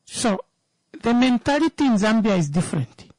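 A woman's voice: fairly high pitch, big pitch swings, moderate pace, darkish tone, low-quality recording. A woman, giving an emphatic opinion talk that turns into a personal story, repeating words for stress.

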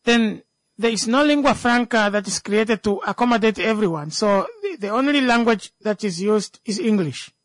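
Namibian accent, native speaker of a local language, mid-pitched voice, emphatic, repeats phrases, unscripted discussion, dry sound. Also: lively intonation, normal speed, no echo, low-quality recording.

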